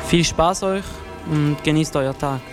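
Man, fairly high voice, earnest plain explainer, faint music underneath. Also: unhurried. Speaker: interviewee.

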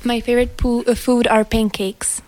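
A woman's voice: on the high side, flat delivery, normal speed, a little mellow, slight background noise. A young woman whose first language is Slovak, answering interview questions in English and often starting with "uh".

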